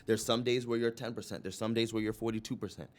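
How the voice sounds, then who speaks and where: A man's voice: on the low side, steady tone, fast talker. A man co-hosting a podcast in a casual debate, earnest and insistent, repeating phrases for emphasis. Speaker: podcast co-host.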